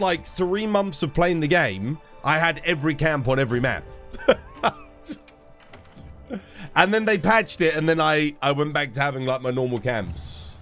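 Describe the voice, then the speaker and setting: A man's voice: on the high side, a bit bright. A man, stuffed up with a cold, casually chatting and answering viewers while streaming a game. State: congested, stuffed-up nose. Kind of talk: casual live-stream chat.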